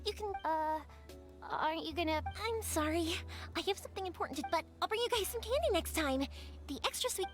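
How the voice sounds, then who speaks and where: A very high-voiced, kind and determined young woman in an anime-style game. Her pitch moves around in lively sweeps, with clear music playing behind her. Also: a little fast. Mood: friendly, reassuring. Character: spirited, kind-hearted young woman, big-sisterly and determined.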